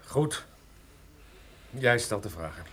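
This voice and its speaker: An actor playing an evasive, defiant drug smuggler at gunpoint in a radio drama, guarded in manner. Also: male voice, on the low side, big pitch swings, slow pace, fairly bright tone, husky, full character voice.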